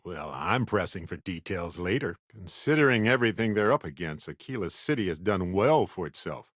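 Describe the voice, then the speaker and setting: An actor playing a witty, adventurous male space explorer companion in a video game, speaking in an expressive, conversational way. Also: low voice, moderate pace, dry recording, clean studio sound. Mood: reflective, earnest.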